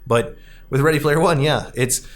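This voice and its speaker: A man in his thirties hosting a casual discussion of films and arcade games, halting and often correcting himself. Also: a little high. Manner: rambling, halting, self-correcting. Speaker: podcast host.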